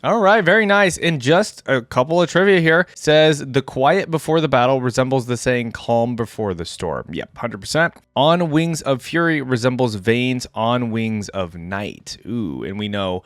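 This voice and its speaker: A man's voice: mid-range voice, expressive, measured pace, hardly any pauses, no echo. A man giving casual reaction commentary as a video host, chatting directly to his viewers in a conversational way. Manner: conversational, addresses viewers directly as guys and gang.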